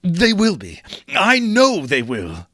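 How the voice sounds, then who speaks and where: A man's voice: high-pitched, huge pitch swings, slow, bright, forceful tone, no room sound, studio quality, voice pushed up, exaggerated voice. A man voicing an exuberant, exclamatory old man overcome with emotion in a storybook reading. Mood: tearful relief.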